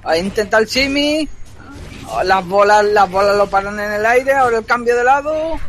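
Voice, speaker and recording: A man doing fast, excitable play-by-play commentary on a fighting game, joking and shouting at big moments. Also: high voice, a little hiss or hum. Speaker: esports commentator.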